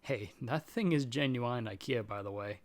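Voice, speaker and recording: Guy acting as a nervous buddy in an audio rp, young dude, average pitch, rambly and hesitant, kinda sheepish. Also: normal speed, close to an everyday voice. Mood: mock exasperated. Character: nervous, friendly young male friend, self-deprecating and easily flustered. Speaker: audio roleplay performer. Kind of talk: casual one-sided roleplay dialogue.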